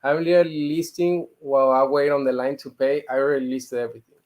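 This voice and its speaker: A man from Mexico, a guest on a casual livestream video call, frank and thinking out loud as he talks. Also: mid-range voice, fairly even intonation, fast talker. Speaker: guest reseller.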